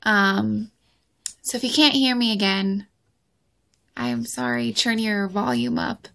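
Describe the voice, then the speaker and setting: A woman's voice: on the high side, a little slow, creaky, a bit bright. A young woman vlogging into her phone, with a light voice and a hesitant manner full of ums and restarts. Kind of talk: casual personal vlog. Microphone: phone camera.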